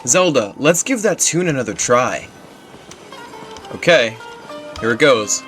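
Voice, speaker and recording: A man doing casual game commentary, with a pitch that moves around a lot. Faint music plays behind his voice. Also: animated, measured pace, a bit bright. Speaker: video game streamer. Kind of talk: casual let's play commentary.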